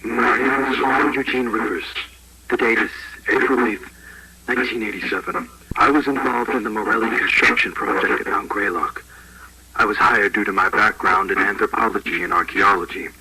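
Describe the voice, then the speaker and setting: A man playing a sober archaeologist who records a formal, measured log with many pauses, in a 1980s found-tape horror. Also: on the low side, steady tone, close to an everyday voice. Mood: grave, awed. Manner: measured, formal, pausing between phrases. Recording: very noisy old tape log.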